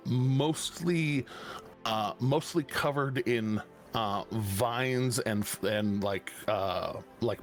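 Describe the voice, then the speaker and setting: A man narrating as game master, describing scenes slowly with frequent pauses and restarts. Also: fairly low pitch. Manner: slow, halting, many restarts and fillers.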